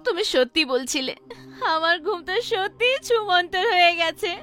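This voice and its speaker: A woman's voice: very high voice, lively intonation, moderate pace, sharp tone, soft background music, heavily acted. A woman playing a young wife in a dramatized horror story. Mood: delighted.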